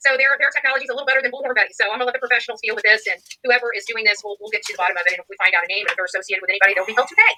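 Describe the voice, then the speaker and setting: A woman's voice: a little high, fairly even intonation, racing, hardly any pauses, bright, forceful tone, low-quality recording. A woman talking informally as if making a report on a call, rambling and insistent. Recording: faint noise, played-back clip.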